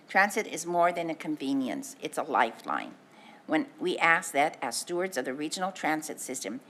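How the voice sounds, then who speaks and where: A low-pitched woman reads a formal letter aloud at a public board meeting. Her reading is earnest and measured, with the odd stumble. A faint hiss sits under her voice. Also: a bit bright. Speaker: advisory committee chair.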